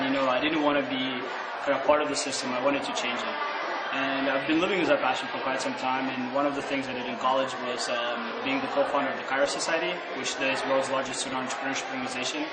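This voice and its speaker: A young man from Russia, telling his story in an interview with energetic enthusiasm. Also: mid-range voice, reverberant, heavy background noise. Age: young adult. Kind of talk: casual interview answers.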